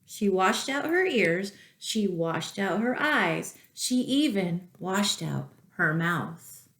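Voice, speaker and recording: A woman reading a storybook aloud to young children, expressive and deliberate, with asides to the listeners. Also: medium pitch. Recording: echoey room.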